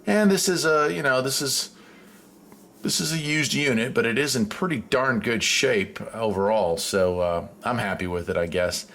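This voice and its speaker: A man giving a casual, folksy hobby demonstration, thinking aloud haltingly as the host. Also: medium pitch. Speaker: host of a radio hobby channel. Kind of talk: casual product demonstration chat. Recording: loud radio hiss and static noise. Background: shortwave radio static.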